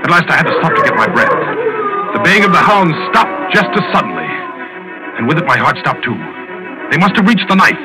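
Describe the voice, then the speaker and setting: A man's voice: high voice, very animated, a little fast, loud music behind the voice, rough recording. An actor playing a determined, hunted big-game hunter, narrating his ordeal intensely in 1940s radio suspense style. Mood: urgent dread. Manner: intense, dramatic first-person storytelling. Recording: vintage radio drama recording.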